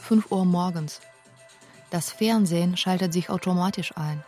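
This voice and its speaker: Mellow, reflective thirty-year-old woman from Belarus. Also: on the low side, measured pace, soft background music. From Minsk, Belarus. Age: 30s. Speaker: feature author and narrator. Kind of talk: scripted first-person documentary narration.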